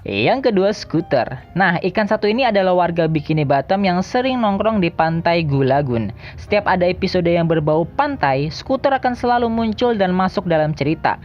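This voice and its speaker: A woman's voice: lowish voice, expressive, moderate pace, muffled recording. A woman narrates a countdown video in a casual, slangy, jokey way. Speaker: video host. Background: background music.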